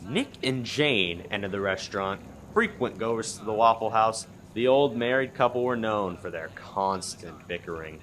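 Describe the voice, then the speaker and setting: A man's voice: lowish voice, lively intonation, moderate pace, hardly any pauses, a bit bright, a little hiss or hum. A man reading dramatic scripted narration for a comic radio play.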